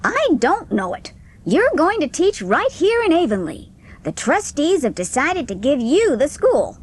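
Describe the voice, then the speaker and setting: A woman's voice: sits high, huge pitch swings, measured pace, pitched above the performer's own voice, full character voice. A woman voicing a blunt, opinionated older village busybody in an audiobook, forthright and emphatic. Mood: triumphant, emphatic. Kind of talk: dramatised audiobook acting.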